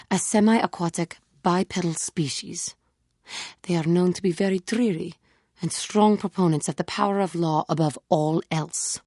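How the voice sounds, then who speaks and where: A woman voicing an earnest, troubled young female Jedi warrior in a video game, speaking formally and with measured care in a husky voice. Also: fairly low pitch, dark tone, dry recording, clean studio sound. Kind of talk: scripted game character dialogue.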